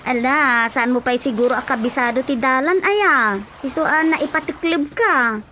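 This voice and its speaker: A woman with an Ilocano accent playing an excitable, sympathetic neighbour in a radio drama, fussing and exclaiming. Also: high voice, moderate pace, a little hiss or hum. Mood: sympathetic, curious.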